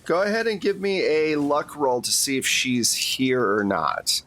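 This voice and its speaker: A man's voice: a little high, very animated, measured pace, fairly bright tone. A man narrating a tabletop role-playing game as game master, in a casual, conversational storytelling way.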